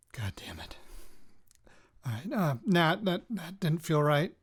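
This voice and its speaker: An actor playing a weary, jittery male radio reporter recording personal audio notes for a fiction podcast, in a halting, self-interrupting way. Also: on the high side, slightly rough, heavily acted. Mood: frustrated.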